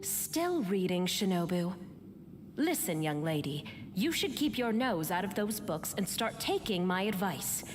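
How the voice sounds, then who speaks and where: A woman's voice: mid-range voice, sing-song, a little slow, over music. A woman playing a stern middle-aged mother in a video game, lecturing in a bossy, scolding manner. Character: stern, nagging mother lecturing her bookish daughter. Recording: clearly noisy ambient background.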